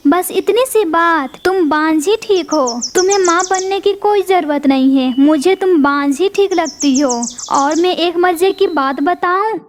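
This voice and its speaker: Mean female pigeon voiced by a woman, high and mocking, cartoon. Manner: taunting, sneering.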